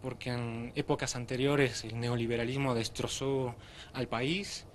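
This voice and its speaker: A young man in a group interview at an ordinary middle pitch, speaking plainly with many fillers. Faint ambient noise sits behind his voice. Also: fairly even intonation, a little slow. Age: young adult. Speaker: interviewee, youth group member.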